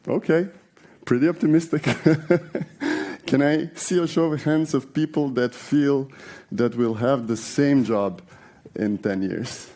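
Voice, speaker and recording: A man's voice: medium pitch, takes it slowly, darkish tone. A man in his forties from Serbia, giving a conversational conference talk with many fillers and restarts. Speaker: conference speaker. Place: large conference hall.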